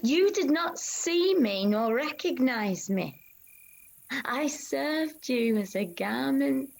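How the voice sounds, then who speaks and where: A woman playing a female disciple who solemnly recounts a vision in a halting, reverent dramatic monologue with long pauses. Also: fairly high pitch, darkish tone, exaggerated voice, rough recording. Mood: intense storytelling. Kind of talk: dramatic monologue of an ancient religious text.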